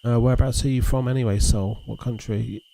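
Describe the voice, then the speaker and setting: A man hosting a game livestream, chatting in a relaxed, rambling way with a gravelly voice. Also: medium pitch, fairly quick, a little hiss or hum. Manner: relaxed, rambling, talks to chat and reads messages aloud. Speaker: livestream host. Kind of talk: casual chat while streaming a fishing game.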